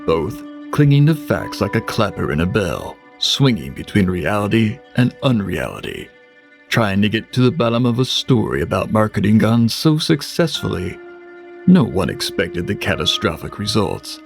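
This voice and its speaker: An actor playing a portentous, wry narrator of an uncanny anthology tale, in measured, theatrical vintage television style. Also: male voice, lowish voice, sing-song, creaky, faint music behind. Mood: wry, dramatic. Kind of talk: scripted narration in vintage eerie TV anthology manner.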